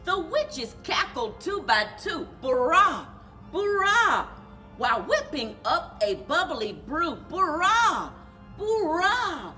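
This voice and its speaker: Animated, high-voiced woman reading a storybook. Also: takes it slowly, faint music behind. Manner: animated, rhythmic singsong. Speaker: library story time reader. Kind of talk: children's storybook read-aloud with chanted refrains.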